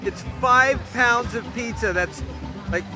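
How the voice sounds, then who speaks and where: A man's voice: extremely high pitch, slow. A man off camera casually asking fairgoers questions outdoors at a county fair. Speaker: off-camera interviewer. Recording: fair crowd and music behind.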